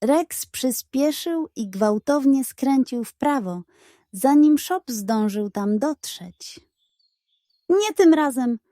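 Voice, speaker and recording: Female storyteller for children's detective tales: unhurried, soft-toned, suspenseful, recorded dry. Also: sits high, lively intonation, dry recording.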